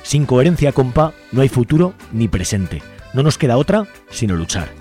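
A man's voice: medium pitch, big pitch swings, moderate pace, warm tone. Man from radio, Spanish accent, over music. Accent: Spain. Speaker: radio show host. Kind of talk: presenting a community radio show, partly scripted. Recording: music behind the voice.

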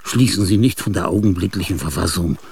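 An actor in a radio drama playing an ailing, aging male genius, restless and grandiose. He speaks confidingly in a husky, gravelly voice, with sudden outbursts and whispers. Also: low voice, fairly quick, a little mellow, slight background noise. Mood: excited. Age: older. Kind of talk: theatrical radio drama monologue.

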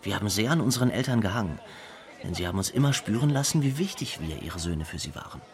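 A man's voice: fairly low pitch, expressive, fast. An actor playing a grown man who reflects on his late brother in a plainly told radio drama monologue. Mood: reflective, wistful. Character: grown younger brother fondly recalling his famous late brother.

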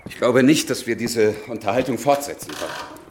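A man playing a worldly, blunt revolutionary statesman in a radio drama, condescending and swinging from quiet to forceful. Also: medium pitch, animated, normal speed, slight background noise, close to an everyday voice. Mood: indignant.

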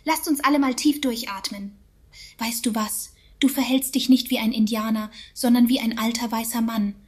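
A woman acting a chatty artificial voice in a radio play. She is slightly high-pitched, sweetly over-understanding and preachy, with casual therapy-speak. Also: fairly even intonation, moderate pace, close to an everyday voice. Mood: patronising. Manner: soothing, over-understanding, patronising. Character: chummy female synthetic AI voice, sweetly preachy.

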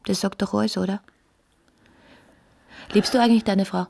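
Husky, intimate woman in love. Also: mid-range voice, steady tone, moderate pace, darkish tone. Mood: probing, needling. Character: earnest, probing woman in love with a married man. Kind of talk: German-language radio play acting.

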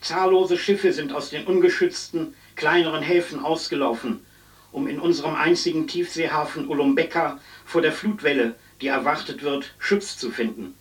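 An actor playing an earnest radio newsreader in a radio play, reading a formal, measured storm bulletin. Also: male voice, on the high side, far from the mic, close to an everyday voice. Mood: formal, urgent.

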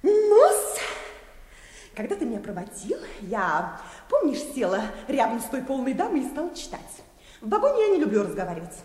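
Shrill-high, gushing young wife. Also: female voice, wildly swooping pitch, moderate pace, mellow. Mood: gushing, chatty. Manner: breezy, gushing, chattering. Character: gushing, flighty, chattering young wife of bank director. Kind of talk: theatrical radio play acting. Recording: echoey hall, long reverb.

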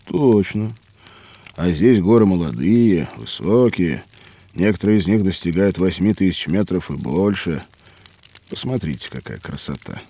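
An actor playing a hearty, hospitable mountaineer in an audio drama for children, speaking expressively. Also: male voice, sits low, animated, unhurried, warm tone, slight background noise. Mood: earnest, animated. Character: hearty hospitable mountaineer by a campfire below Everest. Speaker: mountaineer character.

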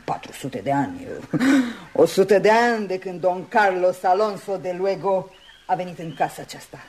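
An actress playing an eccentric, melancholy old Spanish lady in a radio play, musing and wandering, sometimes dropping to a hush. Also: on the low side, lively intonation, close to an everyday voice. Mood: musing, mournful. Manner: wandering, musing, with little laughs and sudden hushes. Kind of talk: Romanian radio theatre acting. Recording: noisy old radio drama recording.

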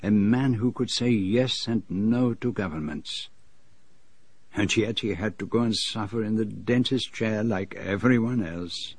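A man playing a fussy detective who narrates his own story in a radio drama, with a measured, wryly dramatic manner. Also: fairly low pitch, a little mellow, slightly rough, dry recording. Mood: relieved, wry.

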